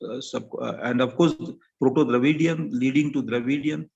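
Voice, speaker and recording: Older Indian English male panelist, gravelly voice, unhurried and halting delivery, muffled lo-fi recording. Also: mid-range voice, a little mellow. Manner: halting, thoughtful, with many pauses and fillers. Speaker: panel speaker. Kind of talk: academic talk.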